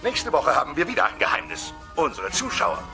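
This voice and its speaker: A pompous dinosaur mystery-show host with a creaky, fairly high voice, narrating melodramatically and sardonically over prominent music in a comedy audio play. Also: male voice, wildly swooping pitch, fairly quick, full character voice. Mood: melodramatic.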